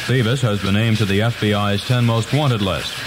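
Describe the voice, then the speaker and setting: A man's voice: on the low side, steady tone, moderate pace. An American man reading a scripted news report on the radio. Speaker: radio newsreader. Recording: noisy old radio broadcast tape.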